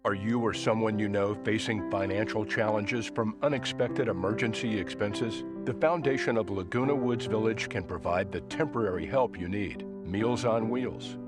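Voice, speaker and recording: Loud guitar music sits under a man's scripted announcement. He narrates in a fairly low voice. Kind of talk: scripted public service announcement.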